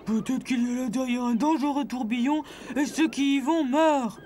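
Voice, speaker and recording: Performer as a high-voiced, sceptical cartoon animal. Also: moderate pace, dark tone, a little hiss or hum, natural voice. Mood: doubting. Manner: sardonic, doubting. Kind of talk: cartoon dub in French. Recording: prominent music behind the voice.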